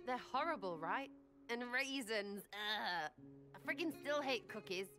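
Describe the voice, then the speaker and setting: A woman's voice: fairly high pitch, lively intonation, measured pace, over music. An actress playing a brash, irreverent young female rogue in a video game, slangy, blunt and playful. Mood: candid, wry. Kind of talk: video game character dialogue.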